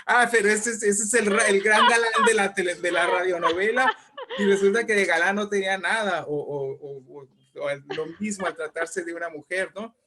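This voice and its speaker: A Mexican man with a fairly high voice talks as a guest in an interview. He explains things at length and with emphasis, in a conversational way, often tagging phrases with little checks to the listener. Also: fairly even intonation, normal speed, pushed and bright, a little hiss or hum. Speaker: invited guest, writer and poet.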